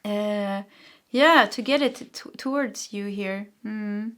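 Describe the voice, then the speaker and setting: A woman's voice: medium pitch, slow, fairly bright tone, clear, resonant tone. A woman from Sweden with a Swedish accent, a non-native English speaker, giving a casual pick-a-card tarot reading and often stopping to search for words. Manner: chatty and searching, with frequent fillers and self-corrections. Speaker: tarot reader.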